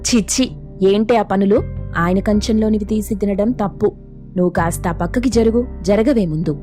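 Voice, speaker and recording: A woman's voice: medium pitch, moderate pace, warm tone, faint music behind, lightly acted. A woman playing an anxious, jealous wife and elder sister who scolds and bosses in a family story. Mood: bossy, scolding. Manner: scolding, suspicious.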